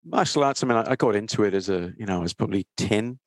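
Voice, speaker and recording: A man with an Australian accent, talking casually as an interview guest, often restarting his phrases haltingly. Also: lowish voice, lively intonation, no echo. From England, then Australia from age thirteen. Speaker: interview guest, trading educator.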